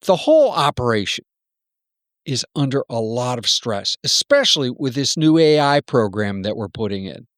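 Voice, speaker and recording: A man playing a stern, worried technology executive in a radio play, with measured, halting phrasing. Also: mid-range voice, sing-song, a bit bright, dry recording, studio quality. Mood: concerned, stern.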